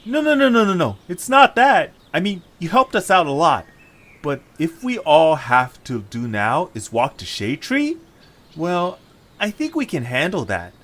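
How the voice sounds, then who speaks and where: A man playing an eager, hopeful young adventurer in an amateur audio drama, with excitable, expressive delivery. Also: a little high, measured pace. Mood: earnest. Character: eager, hopeful young male adventurer leading his friends.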